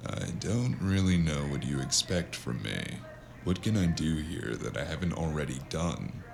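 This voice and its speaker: A man's voice: a bass voice, expressive, moderate pace, creaky, slight background noise, full character voice. A man playing a weary, sardonic tough fixer for hire in a cyberpunk audio drama, with a dry, laconic delivery. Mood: weary, grudging. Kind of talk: scripted audio drama with hardboiled narration.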